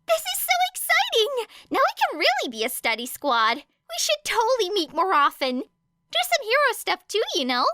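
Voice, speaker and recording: A woman puts on an excitable teenage schoolgirl for an audiobook story. The voice is extremely high and bright, swooping widely in pitch, with an eager, gushing, casual manner. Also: normal speed, no room sound, clean studio sound, exaggerated voice. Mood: excited. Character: bubbly, excitable teenage schoolgirl hero student.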